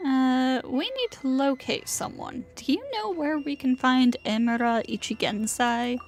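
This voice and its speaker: Female game streamer reading dialogue aloud: high voice, unhurried pace, faint music.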